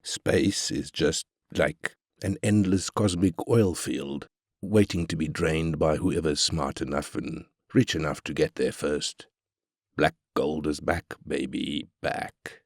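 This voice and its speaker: A man's voice: rumbling low, slow pace, no echo, clean studio sound, full character voice. A man playing a ruthless, arrogant corporate tycoon, brash and cynical, in scripted video game lines. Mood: smug, cynical. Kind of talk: scripted game character quotes.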